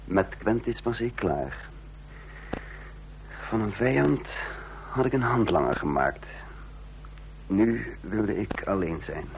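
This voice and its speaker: An actor playing a cold, calculating intelligence chief who speaks formally and deliberately in a radio drama. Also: male voice, on the low side, lively intonation, vocal fry, full character voice, rough recording. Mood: brooding. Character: cold calculating intelligence chief, narrating his own downfall. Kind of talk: radio drama acting and first-person narration. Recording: vintage radio play with faint hum.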